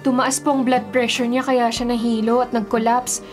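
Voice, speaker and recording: Radio drama: actress as unsympathetic young Filipino daughter, impatient, higher voice, light music bed. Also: female voice, flat delivery, moderate pace, darkish tone, lightly acted. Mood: businesslike.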